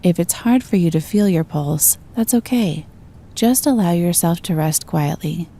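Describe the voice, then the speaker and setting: Mellow, low-voiced woman guiding meditation. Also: measured pace. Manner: gentle, calm, soothing delivery. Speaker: meditation guide. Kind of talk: scripted guided meditation narration.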